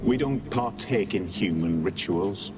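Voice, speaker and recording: Lowish, detached servant spirit. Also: male voice, animated, a little slow. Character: sly, detached male otherworldly servant spirit. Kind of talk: video game cutscene acting. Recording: music under the voice.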